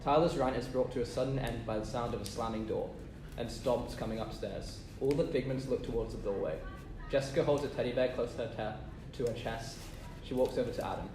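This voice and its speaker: A man's voice: mid-range voice, fairly quick. A man narrating stage directions at a script table read. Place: echoey room, off-mic. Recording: echoey, noisy, distant microphone.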